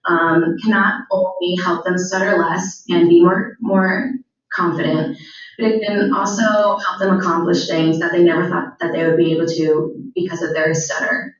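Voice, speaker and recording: A woman's voice: on the low side, flat delivery, normal speed. A teenage girl giving a school presentation, stuttering with repeated words and frequent fillers. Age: teens. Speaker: student speaker. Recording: very echoey, distant, dull sound.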